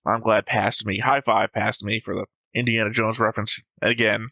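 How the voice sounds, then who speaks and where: Bright-voiced man reviewing his notes. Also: fairly low pitch, level tone, normal speed, creaky, no echo. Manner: analytical, jokey, acts out quotes. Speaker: reaction show co-host. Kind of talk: casual discussion reading notes.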